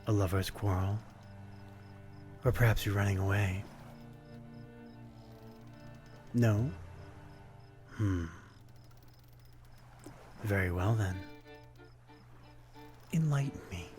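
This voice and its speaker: A man playing a courtly, melancholy vampire stranger in an intimate ASMR roleplay, speaking in a formal, old-fashioned, gently wistful way. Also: fairly low pitch, takes it slowly, long pauses, soft background music. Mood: courteous, teasing.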